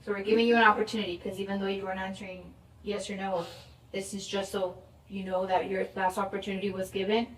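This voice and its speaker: A woman's voice: medium pitch, level tone, fast talker. A woman police officer giving firm, procedural instructions with growing impatience. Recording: echoey, distant, with noise.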